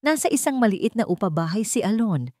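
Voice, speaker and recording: A woman's voice: medium pitch, big pitch swings, brisk, mellow, dry recording, clean studio sound. A woman with a Filipino accent narrating a dramatic audiobook story from a script.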